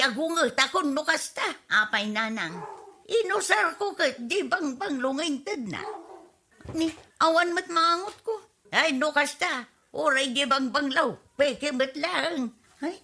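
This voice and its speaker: A woman's voice: a little high, pushed and bright, heavily acted. A woman with an Ilocano accent acting an indignant, whiny young woman in a radio comedy drama.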